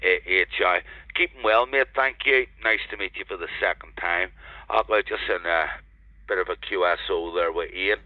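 A man's voice: low voice, moderate pace, no echo. An older man with a Northern Irish accent chatting casually as an amateur radio operator. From Northern Ireland. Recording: dull lo-fi radio network transmission.

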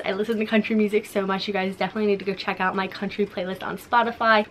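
A woman's voice: medium pitch, slight background noise. Bubbly young woman chatting to camera. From Ohio, US Midwest. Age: young adult. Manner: bubbly, upbeat, lots of "like" and "so much fun". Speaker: lifestyle YouTuber. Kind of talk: chatty sit-down tag video. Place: home office, indoors, small room.

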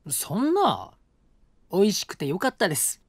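A gentle young student cook. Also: male voice, high-pitched, wildly swooping pitch, moderate pace, creaky, no room sound, clean studio sound, exaggerated voice. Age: young adult. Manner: soft, polite, patient explaining. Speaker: college student neighbor who cooks. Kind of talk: studio audio drama. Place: dry studio.

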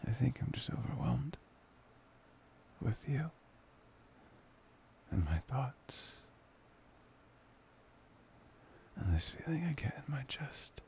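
A young man playing a soft, playful, devoted boyfriend in an intimate ASMR roleplay, breathy, hesitant and often near a whisper. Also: medium pitch, very animated, takes it slowly, long pauses, full character voice. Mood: tender, reassuring.

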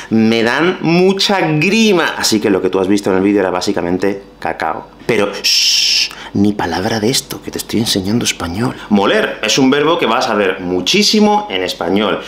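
A man in his thirties with a Spain Spanish accent, teaching Spanish vocabulary to camera in a lively, playful way. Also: lowish voice, reverberant. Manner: lively, expressive, playful asides. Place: small bright room at home.

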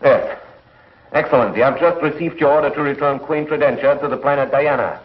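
An actor playing an agitated, dutiful space commissioner with emphatic, clipped delivery in 1950s television serial style. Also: male voice, medium pitch, brisk, close to an everyday voice. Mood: urgent, dutiful. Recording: muffled 1950s film soundtrack.

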